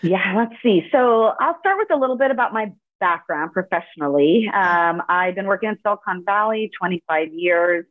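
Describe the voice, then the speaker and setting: A woman's voice: mid-range voice, lively intonation, normal speed, few pauses, no room sound. A woman in her forties, a podcast guest who grew up speaking Spanish, telling her story in an upbeat, fluent way with a few fillers. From Los Angeles, United States. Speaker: podcast guest, corporate lawyer and author. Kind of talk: interview conversation. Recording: dry, phone-like narrow sound.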